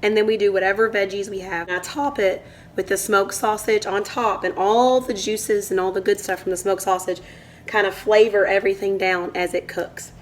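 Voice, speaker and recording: A woman with a hoarse voice from a cold, casually walking through a home cooking recipe step by step in her kitchen as the channel's host. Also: medium pitch, fairly even intonation, normal speed, a little hiss or hum. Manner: chatty, explains step by step.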